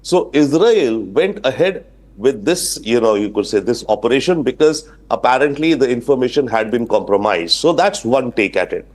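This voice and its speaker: A man's voice: mid-range voice, expressive, normal speed, a little mellow. A man with an Indian accent giving explanatory live news analysis as an expert guest. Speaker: international affairs expert guest.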